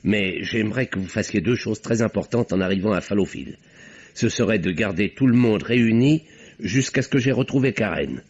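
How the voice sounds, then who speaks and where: An actor playing an earnest middle-aged doctor turned sleuth who talks in an urgent, insistent and sometimes flustered way, in an old radio play. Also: male voice, on the low side, moderate pace, darkish tone, husky. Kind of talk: 1950s radio drama acting. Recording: dull old radio recording.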